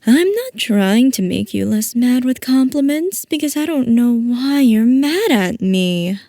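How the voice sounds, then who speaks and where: A woman's voice: a little high, lively intonation, a little slow, dark tone, no echo. A woman playing a playful, theatrical mad-scientist villain boss in a roleplay audio, coy and mock-innocent as she teases. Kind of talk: scripted roleplay audio, ASMR-style character acting.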